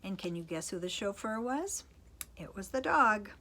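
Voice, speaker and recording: A woman's voice: medium pitch, lively intonation, normal speed, pushed and bright. A woman reading a storybook expressively to children, with a playful manner. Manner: playful asides to young listeners.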